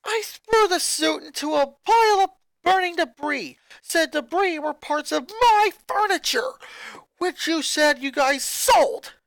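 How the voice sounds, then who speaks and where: A woman voicing an angry, resentful young boy who rants and shouts at his family. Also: very high-pitched, expressive, measured pace, no room sound, voice pushed up, exaggerated voice, poor audio. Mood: bitter. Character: furious boy, eleven-year-old, bitter and resentful.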